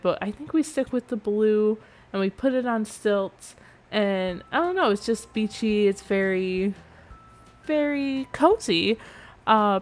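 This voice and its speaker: A young woman giving a rambling, chatty commentary over a game build, sounding a little nasal from allergies. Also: mid-range voice. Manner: chatty, rambling, self-correcting. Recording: faint background music.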